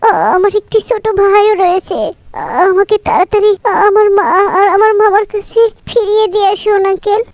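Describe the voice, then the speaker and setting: A woman's voice: extremely high pitch, fairly even intonation, moderate pace, darkish tone, dry recording. A woman voicing a young girl in a cartoon, tearful and pleading. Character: young girl Fatema, war victim, grieving. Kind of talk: cartoon voice acting.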